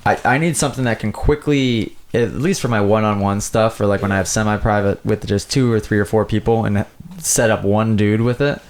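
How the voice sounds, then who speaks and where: A man's voice: lowish voice, brisk. A man who rides and coaches motocross, chatting casually and telling stories with a laugh. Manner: relaxed storytelling, laughs while telling. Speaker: motocross rider and riding coach. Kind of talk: casual chat.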